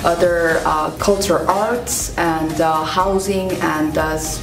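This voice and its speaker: A low-pitched woman with a gravelly edge, speaking haltingly with frequent fillers. She sounds roomy and set back from the mic. Music plays underneath. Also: fairly even intonation, far from the mic. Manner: halting, many pauses and fillers. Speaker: instructor. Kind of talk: promotional interview.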